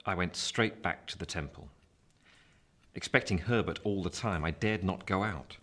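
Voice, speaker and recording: A grave gentleman telling his story in a radio play. The voice is deep, and the first-person narration is measured and literary. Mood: grave, reflective.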